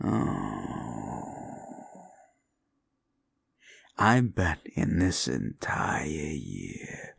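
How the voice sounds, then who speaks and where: A man voicing a scornful male demon in an audio roleplay, taunting and mocking the listener with a profane tongue. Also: heavily acted. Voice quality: deep and gravelly.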